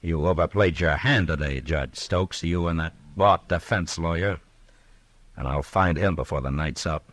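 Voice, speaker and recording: An American actor playing a tough, steady frontier marshal in a radio western, speaking in a dry, commanding way. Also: male voice, sits low, moderate pace, no echo. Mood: stern, sardonic. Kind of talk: old-time radio western acting.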